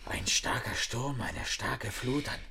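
An actor playing a proud, feverish dikemaster who broods haltingly and often half-whispers, in a radio drama. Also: male voice, mid-range voice, gravelly, bright, forceful tone, slightly rough, full character voice. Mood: worried. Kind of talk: German radio drama acting.

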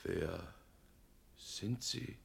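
Frightened sleeper, low, creaky, quiet, clearly spoken, calm on the surface, 1960s radio drama. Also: male voice, drawn-out words, slight background noise, exaggerated voice. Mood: hushed, fearful. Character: frightened lonely man woken at night, calmly questioning. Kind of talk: 1960s radio play acting.

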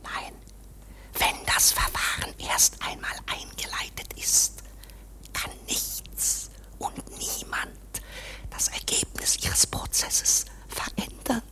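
A woman's voice: takes it slowly, lightly acted, poor audio. An actress in a German radio play playing an embittered, scornful female court underling, with dramatic delivery that often drops to a whisper. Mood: conspiratorial.

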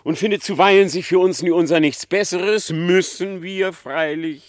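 An actor playing a sly fox trickster in a classic radio play, flattering and falsely humble, drawing out his phrases unctuously. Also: high-pitched, dry recording, studio quality, lightly acted. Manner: unctuous, feigned meekness, drawn-out phrases.

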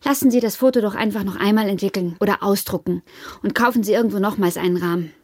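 An actress playing an earnest, probing wife with memory loss in a modern radio drama, speaking in measured, matter-of-fact, formal sentences. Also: female voice, mid-range voice, darkish tone, no room sound, clean studio sound, close to an everyday voice. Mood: calm, probing. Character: amnesiac wife and job seeker, earnest, probing, persistent.

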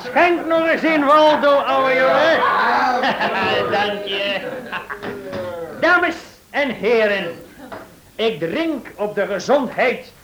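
A man's voice: very high voice, animated, extremely slow, full character voice, rough recording. An actor playing a tipsy, mocking man who rambles theatrically through toasts in an old radio play. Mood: boozy, mocking. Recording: old radio recording, loud crowd chatter.

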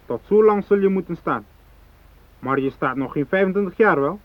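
High-voiced militant hijacker, thin sound. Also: male voice, animated, normal speed, no room sound. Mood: stern, commanding. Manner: declarative, threatening, ideological speechmaking. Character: fanatical South Moluccan hijacker, unyielding, cold, righteous militant. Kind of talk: radio drama acting. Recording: thin phone-like radio drama recording.

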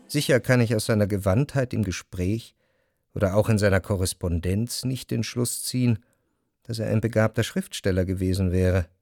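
An actor playing a refined first-person narrator in a radio drama, speaking in a measured, precise, reflective literary manner. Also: male voice, on the low side, a little mellow, dry recording, studio quality, close to an everyday voice. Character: reflective, refined male narrator recalling Parisian salon society. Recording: faint music behind the voice.

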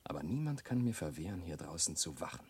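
A man's voice: low-pitched, sing-song, fast talker, a little mellow, breathy edge, heavily acted. A man playing a nosy, cocky young monk scholar in a German radio drama, with an insinuating, passionate manner. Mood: sly, insinuating. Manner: insinuating, then swelling into indignation.

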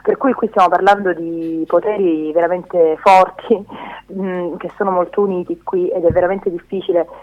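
A woman activist speaking emphatically in a radio interview over a telephone line, halting between bursts. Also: on the low side, steady tone. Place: remote call to a radio studio.

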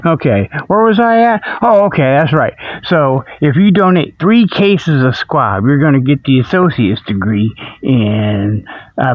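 A man's voice: on the high side, no echo. A man hosting a comic radio report, rambling through mock sponsor plugs in a folksy, ungrammatical, digressive way. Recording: dull lo-fi, dry close sound.

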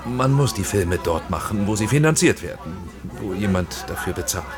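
A man's voice: lowish voice, animated, moderate pace, music in the background, a crowd in the background, lightly acted. An actor playing a guarded middle-aged film director and father who answers carefully and evasively in a radio drama.

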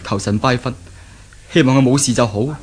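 A man's voice: medium pitch, moderate pace, a little hiss or hum, lightly acted. A man acting in a 1970s Cantonese radio drama as an earnest, worried young fiancé with a Hong Kong accent. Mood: anxious brooding. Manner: earnest, fretful.

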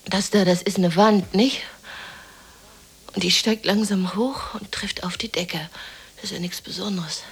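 An actress playing a lonely, depressed, dreamy woman in a radio play monologue, musing haltingly to herself in a breathy half-whisper. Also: medium pitch, fairly even intonation. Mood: dazed, brooding.

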